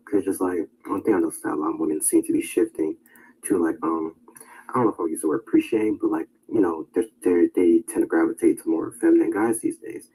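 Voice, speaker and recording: A man's voice: sits low, fairly even intonation, fast, mellow, breathy edge, poor audio. A young man speaking about himself in a hesitant, casual way, full of fillers. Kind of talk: casual personal talk.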